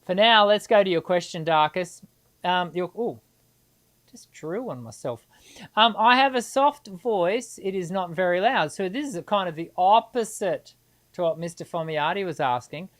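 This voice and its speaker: A woman's voice: fairly low pitch, normal speed. A middle-aged woman, hosting a live singing Q&A and answering viewers in a chatty, encouraging way. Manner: chatty and encouraging, many restarts and filler ums.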